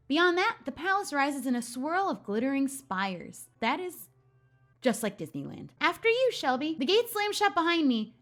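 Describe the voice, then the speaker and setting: A woman narrates a story game in a high-pitched voice with animated intonation. She is playful and switches between expressive reading and casual commentary. Music plays clearly behind her. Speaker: gaming video host. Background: background music.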